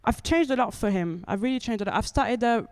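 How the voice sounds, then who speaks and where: A woman's voice: a little high, fast talker, no echo, clean studio sound. A young woman from France performing stand-up comedy with a wry, chatty delivery that keeps checking in with the audience. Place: comedy club stage.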